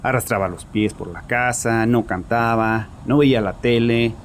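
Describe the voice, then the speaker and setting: An actor playing a troubled, chauvinist bereaved father confessing in an audio horror drama, with a haunted, halting manner. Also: medium pitch, a little hiss or hum, lightly acted. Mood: proud, defensive. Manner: haunted, halting, repeats himself.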